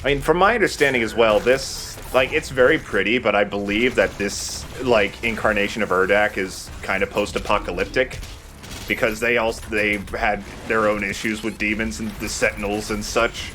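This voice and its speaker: Low-voiced man rambling casually over background noise. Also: normal speed. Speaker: Let's Play host and commentator. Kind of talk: casual game commentary chat. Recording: clear steady background noise.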